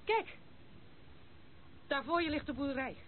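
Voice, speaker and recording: Through a thin, phone-like and faintly hissy old radio recording comes a proud young noblewoman. She is troubled and guarded, halting as she speaks, with defiance in her radio-play delivery. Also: high voice, lively intonation. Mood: bitter, defensive. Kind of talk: Dutch radio play acting.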